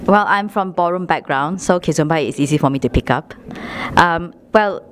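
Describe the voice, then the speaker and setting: A woman from Malaysia answers interview questions in a somewhat low voice. She speaks in a measured way and slows down for emphasis. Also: noisy background. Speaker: guest, dance school founder.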